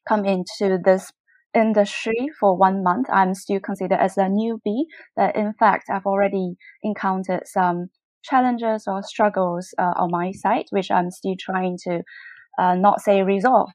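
A woman's voice: medium pitch, darkish tone, dry recording. Polite, eager woman interviewer. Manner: polite, eager, slightly halting. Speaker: host, newcomer to public relations. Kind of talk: conversational interview.

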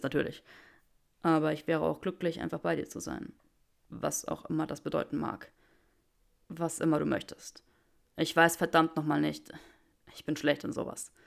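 A woman's voice: deep voice, fairly even intonation, moderate pace, creaky, long pauses. A woman voicing an awkward young male wizard who speaks earnestly and stammers through a tender confession. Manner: stammering, earnest, self-mocking.

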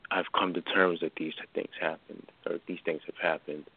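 A man in his twenties from New York, calling in to a radio show and talking hesitantly and haltingly. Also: low-pitched, flat delivery, husky. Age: 20s. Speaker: caller. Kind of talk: call-in radio conversation. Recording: tinny phone line.

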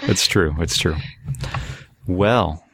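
A man's voice: low-pitched, drawn-out words, fairly bright tone, breathy edge. A man hosting a podcast in a casual, conversational style, putting questions to the others. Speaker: podcast host. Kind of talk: casual chat, asking questions.

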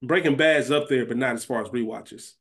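A man's voice: medium pitch, fairly quick. A man hosting a podcast, chatting casually about television in an informal, slangy, conversational way. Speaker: podcast host.

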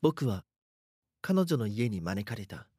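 A man's voice: on the low side, sing-song, slow, darkish tone, slightly rough, no room sound, studio quality, exaggerated voice. A man playing a haunted, frightened schoolboy narrator. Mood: tense, hushed. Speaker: voice actor narrating a drama. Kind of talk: first-person scripted horror narration.

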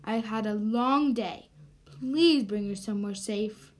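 Young woman playing a smug, slow-talking young man. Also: medium pitch, pitched below the performer's own voice, close to an everyday voice. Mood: patient, explaining. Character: self-assured, vain young man from a magic town. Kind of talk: amateur radio drama acting.

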